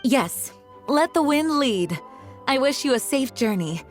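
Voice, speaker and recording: A woman's voice: high-pitched, lively intonation, unhurried, soft background music. An actress voicing a courteous, composed female knight leader in a video game. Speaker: acting grandmaster.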